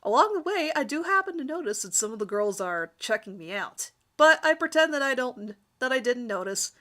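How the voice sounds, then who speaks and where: Woman reading a story out loud all theatrical, kinda high bright voice. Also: very animated, brisk. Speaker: amateur reader of her own fan fiction. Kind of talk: dramatic reading of a first-person story.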